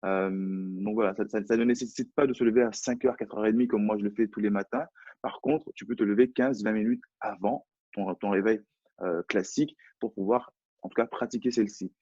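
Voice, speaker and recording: Low husky French man coaching. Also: steady tone, fairly quick, dark tone, no echo, highs cut off. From France. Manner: hesitant, repeats words often. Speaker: personal development coach. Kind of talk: live online chat.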